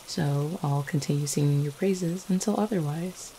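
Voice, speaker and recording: A woman playing a gentle, devoted vampire girlfriend in an ASMR roleplay, speaking in a tender, hesitant, affectionate manner. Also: deep voice, moderate pace, rough recording. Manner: soft-hearted, hesitant, tender. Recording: faint steady rain-like background noise. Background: rain falling.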